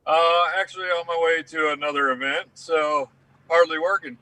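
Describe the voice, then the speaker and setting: A man's voice: a little high, steady tone, normal speed, crowd noise, rough recording. A male racing crew member speaking modestly over a phone video call. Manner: modest, easygoing.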